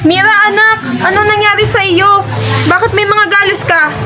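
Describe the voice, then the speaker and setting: A woman's voice: extremely high pitch, fairly even intonation, moderate pace, music in the background. A woman playing a hardworking, caring mother who sells street food and scolds fondly, in a radio drama. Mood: worried. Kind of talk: amateur radio drama acting. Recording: loud crowd and traffic ambience behind.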